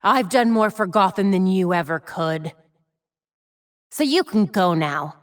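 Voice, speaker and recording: An actress plays a determined young female superhero from a video game, American and quick-witted. Her medium-pitched voice sits in a very dry studio sound, and her delivery is wry and confident. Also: lively intonation, no echo, close to an everyday voice. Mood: stern, defiant. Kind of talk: acted video game dialogue.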